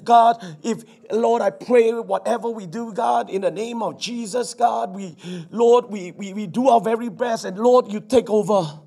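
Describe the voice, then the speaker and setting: Hoarse fervent Singaporean pastor man. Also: high-pitched, a little slow, dark tone. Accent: Singaporean English. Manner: fervent, repetitive, peppered with "Amen". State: hoarse from preaching. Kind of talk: sermon with prayer. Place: church service.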